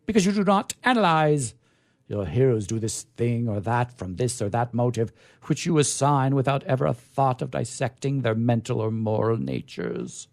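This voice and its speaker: A man acts out a pompous, exacting newspaper boss in an old science fiction story. He declaims and lectures his writers grandly. His pitch leaps around with great animation over a slightly gravelly tone. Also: big pitch swings, moderate pace, darkish tone. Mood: stern, lecturing.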